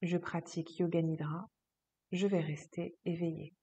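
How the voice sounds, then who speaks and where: A woman yoga teacher leading a guided relaxation meditation, speaking slowly and calmly in a soft, husky voice with long pauses. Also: on the low side. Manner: slow, calm, soothing instruction with long pauses.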